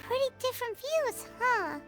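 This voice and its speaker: A woman's voice: extremely high pitch, big pitch swings, normal speed. A woman voicing a tiny, childlike fairy companion in a video game, perky and curious, with music behind her. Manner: refers to herself in the third person, chirpy. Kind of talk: English video game voice acting.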